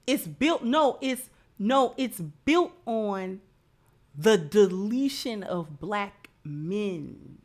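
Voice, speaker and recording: A woman's voice: mid-range voice, animated, drawn-out words, a little mellow. A woman giving opinionated live commentary as a host, emphatic, repeating phrases and posing rhetorical questions. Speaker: livestream host.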